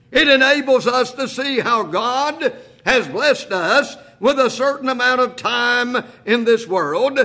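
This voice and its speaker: A man in his sixties preaching a sermon, asking rhetorical questions and slowing to a quiet, deliberate pace for emphasis. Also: sits high. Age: 60s. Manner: repeats "if you please" and "think about this".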